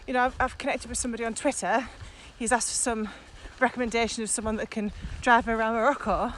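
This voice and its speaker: A woman's voice: on the high side, fairly even intonation, quick pace, fairly bright tone. A woman co-hosting a casual podcast chat, speaking with enthusiasm and emphasis. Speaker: podcast co-host, virtual trainer. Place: outdoors, walking in the countryside. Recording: outdoor walking recording with ambient noise.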